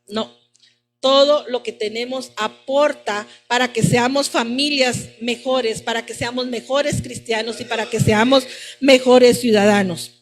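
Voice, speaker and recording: A woman's voice: fairly high pitch, steady tone, measured pace, faint music behind. A woman preaching a teaching sermon, addressing her congregation and repeating phrases for emphasis. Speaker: preacher at a church service. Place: church service, live-streamed.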